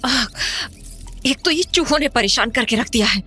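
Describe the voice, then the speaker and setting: A woman's voice: fairly high pitch, expressive, normal speed, sharp tone, breathy edge, faint music behind, full character voice. A woman playing an anxious, fearful mother in a horror audio drama, speaking with urgent agitation. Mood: worried, calling. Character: anxious, fearful mother haunted by lost brother.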